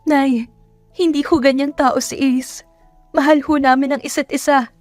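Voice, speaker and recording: A woman plays a hurt young country woman in an audio drama. Her high voice pleads and protests emotionally. The sound is dry, with music underneath. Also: fairly even intonation, moderate pace, dry recording, natural voice. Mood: pleading. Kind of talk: Tagalog audio drama acting.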